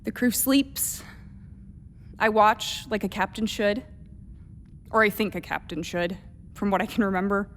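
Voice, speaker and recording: A woman acting in a radio play as a brooding, protective starship captain with a deliberate delivery. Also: mid-range voice, a bit bright, a little hiss or hum, lightly acted. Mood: pensive, searching. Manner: halting, deliberate inner monologue and firm orders. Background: music.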